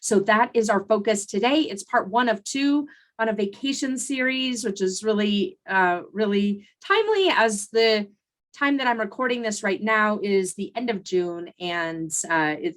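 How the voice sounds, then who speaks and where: A woman's voice: medium pitch, normal speed. A woman hosting a solo coaching podcast, talking conversationally with frequent fillers and restarts, her voice recovering after laryngitis. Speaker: podcast host and consultant coach.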